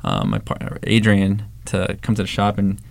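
A man's voice: lowish voice, moderate pace, creaky, dry recording. A young man from the Valley, co-owner of an ice cream shop, telling stories casually with lots of fillers and restarts. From Los Angeles, San Fernando Valley. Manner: relaxed storytelling, many fillers and restarts. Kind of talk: casual interview answers.

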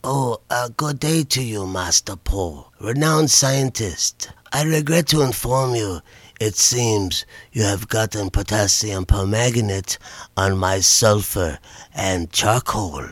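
Man playing a slow, ceremonious comic master scientist. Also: lowish voice, no room sound. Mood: formal regret. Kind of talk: comic radio skit.

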